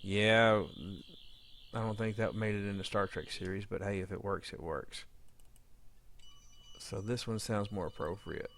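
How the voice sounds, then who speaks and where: A man with a fairly low voice walks through an informal software demo. He thinks aloud as he goes, often muttering to himself while he works. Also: steady tone, measured pace, pauses often, a little hiss or hum. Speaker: audio drama editor giving a tutorial. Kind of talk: informal screen-demo narration.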